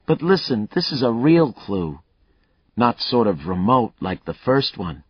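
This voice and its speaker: A man's voice: medium pitch, sing-song, measured pace, breathy edge, no echo, dull audio, voice pushed up. A male narrator voicing a taunting, unhinged fugitive killer in an audiobook, with a crude, swaggering manner. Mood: mocking.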